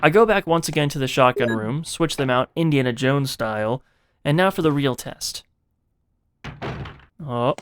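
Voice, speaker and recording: A man's voice: mid-range voice, animated, moderate pace, long pauses, fairly bright tone, a little hiss or hum. A man narrating a video game playthrough in a dramatized, scripted way, with casual, profane, jokey asides to the viewer. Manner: theatrical, self-interrupting asides to the viewer.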